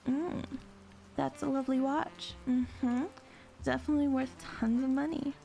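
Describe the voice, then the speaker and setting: A woman's voice: high voice, steady tone, a little slow, creaky, mellow, soft background music. A woman playing a sly, sweet-talking con artist in an audio drama, with a sassy, teasing, self-assured delivery. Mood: coy, teasing. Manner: sassy, drawling terms of endearment, teasing.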